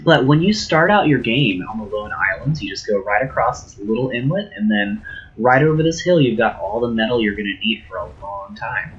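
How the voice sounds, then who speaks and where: A man's voice: medium pitch, expressive, a little fast, highs cut off. A man, a little sick, casually streaming a game tour and chatting with his viewers.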